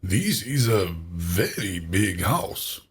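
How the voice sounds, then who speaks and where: Man playing husky, very slow courtly hero. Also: deep voice, big pitch swings, fairly bright tone, dry recording, clean studio sound, full character voice. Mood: admiring, polite. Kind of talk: audio drama acting.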